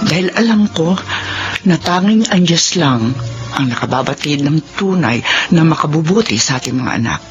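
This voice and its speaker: Radio-drama mother, middle-aged, remorseful and pleading, dull lo-fi sound over music. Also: low voice. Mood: reflective. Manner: earnest, pleading. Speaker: mother in radio drama, narrator of her own story. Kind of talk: classic radio drama acting.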